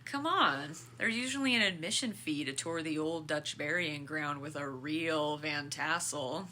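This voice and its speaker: Woman acting a deep-voiced, guarded teenage girl. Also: measured pace, bright, forceful tone, a little hiss or hum. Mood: teasing, brittle. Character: moody, guarded, tired teenage schoolgirl. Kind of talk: dramatic comics reading.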